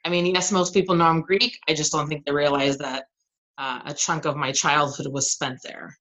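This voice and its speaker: A woman's voice: low-pitched, fairly even intonation, moderate pace, a bit bright. A woman from Southern California and Arizona, a kindergarten teacher, talking in a friendly, chatty way in a casual podcast interview and laughing easily.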